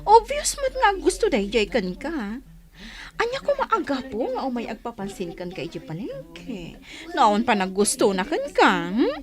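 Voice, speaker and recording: A woman's voice: fairly high pitch, huge pitch swings, measured pace. A woman playing a teasing, gossipy young best friend with a Filipino accent and sing-song delivery in a radio comedy-drama.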